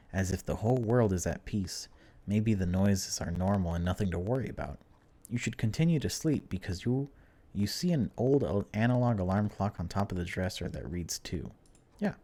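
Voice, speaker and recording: A man's voice: lowish voice, darkish tone. A man hosting a gaming video, reading on-screen story text aloud in a casual way and adding his own informal reactions. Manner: informal, reacts aloud between read passages. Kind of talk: casual let's play, reading game text aloud with commentary.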